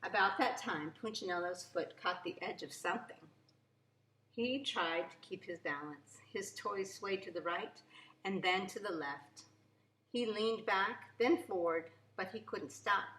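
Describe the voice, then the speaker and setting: A woman reading a children's storybook aloud in an expressive, deliberate storytelling way, with emphasis on key words. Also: medium pitch, slight background noise. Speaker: children's story reader, preschool teacher. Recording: echoey room.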